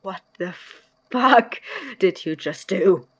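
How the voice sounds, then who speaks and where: A woman playing an appalled, anxious young male college roommate in an audio drama, blunt and emotional, with a husky, breathy voice. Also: lowish voice, animated, slow pace, fairly bright tone, lo-fi sound, exaggerated voice. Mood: outraged.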